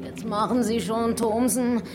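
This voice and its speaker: A woman's voice: fairly high pitch, steady tone, unhurried, loud music behind the voice, lightly acted. An actress playing a callous, starving female castaway in a radio horror drama, with a sardonic, curt and cynical way of talking.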